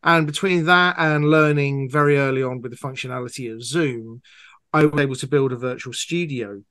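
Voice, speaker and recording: A man's voice: medium pitch, normal speed, bright, forceful tone, dry recording. A man answering interview questions as a guest audio drama producer, talking conversationally and with enthusiasm.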